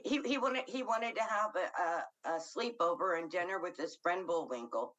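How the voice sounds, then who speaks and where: A woman's voice: on the low side, expressive, normal speed, a bit bright. A woman being interviewed for local news, telling a story casually in a plain-spoken, wryly amused way.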